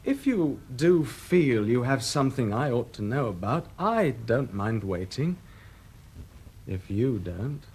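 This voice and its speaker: An actor playing a sarcastic, menacing, unhinged brother in a radio drama, husky and theatrically taunting. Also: male voice, medium pitch, big pitch swings, slow, darkish tone, heavily acted. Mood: coolly menacing. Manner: theatrical, taunting, swinging from silky to explosive.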